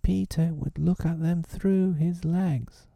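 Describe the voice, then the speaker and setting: A man's voice: fairly even intonation, slow, gravelly, mellow, no room sound, heavily acted. A man voicing a band of frightened young boys crying out together. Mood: panicky. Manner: panicky, high and pleading.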